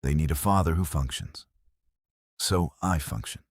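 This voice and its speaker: A man's voice: very deep voice, dry recording, clean studio sound, heavily acted. A man playing a restrained grieving widower with a Korean accent. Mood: subdued. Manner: careful, measured, restrained. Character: grieving widowed businessman, early forties. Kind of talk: storybook narration.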